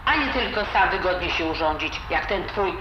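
A woman's voice: lowish voice, expressive, moderate pace, natural voice. A woman playing a blunt, disapproving old grandmother with a Silesian accent and grumbling, dialect-filled talk in an audio drama. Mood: scolding. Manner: grumbling, colloquial, full of dialect words. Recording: roomy, dull, noisy home recording.

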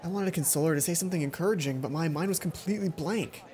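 A young man narrating a game aloud with playful, expressive commentary, as a let's play host. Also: fairly high pitch, very fast. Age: young adult. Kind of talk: reading visual novel aloud with commentary.

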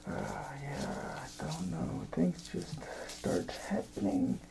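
Man playing a creepy, halting evil jester, slow mutter. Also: on the high side, lively intonation, vocal fry, exaggerated voice. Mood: unhinged. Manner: halting, muttering, rambling, often breaking into whispers. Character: creepy, unhinged, dithering evil jester killer. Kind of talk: ASMR horror roleplay.

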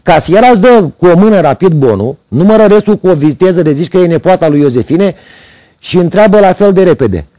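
A man's voice: sits high, lively intonation, warm tone, dry recording. A middle-aged man hosting a TV opinion segment, reading scripted commentary with sardonic irony at a studio desk into a lapel mic. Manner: sardonic, ironic complaints. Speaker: TV commentary host.

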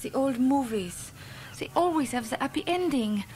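An actress voicing a wistful blonde showgirl with a French accent, speaking soft, accented broken English in an early 1980s radio play. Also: high voice, animated, moderate pace, slight background noise. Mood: sad, tender. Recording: radio play with loud orchestral music.

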